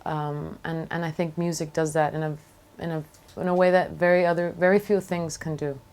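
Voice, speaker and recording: A woman from Venezuela speaking reflectively in an interview as a singer-songwriter, thoughtful and halting, often pausing mid-phrase. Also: low voice, little pitch movement, darkish tone, smooth.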